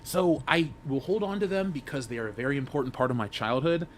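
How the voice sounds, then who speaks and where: A man in his thirties chatting casually as a panelist. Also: quick pace. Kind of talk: casual chat. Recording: faint low rumbling background noise.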